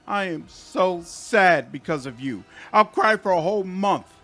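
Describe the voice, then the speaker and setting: A man's voice: high-pitched, animated, a little slow, pushed and bright, full character voice. A man voicing a small, naive female dragon in a fantasy game, pleading in a childlike, plaintive way over music. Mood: tearful hurt. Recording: music behind the voice.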